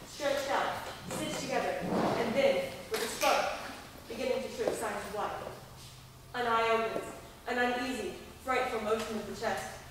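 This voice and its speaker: A woman's voice: on the high side, lightly acted. A teenage girl playing an earnest, dramatic young woman, hesitant yet defiant, in an amateur school stage drama. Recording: echoey hall from far off, noisy.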